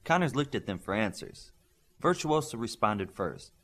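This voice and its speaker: A man's voice: lowish voice, sing-song, normal speed, a bit bright. A man narrating scripted fiction as an audiobook reader.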